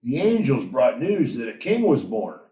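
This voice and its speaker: A man's voice: mid-range voice, sing-song, normal speed, warm tone, close to an everyday voice. A man voicing an earnest, urgent shepherd father in a storybook, speaking emphatically. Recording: roomy echo, distant from mic.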